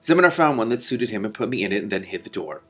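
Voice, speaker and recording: Storybook king, read by a man: fatherly, wry, composed, brisk speech, lowish pitch. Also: sing-song, a bit bright, soft background music. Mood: measured, wry. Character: calm wry king, a father explaining past troubles.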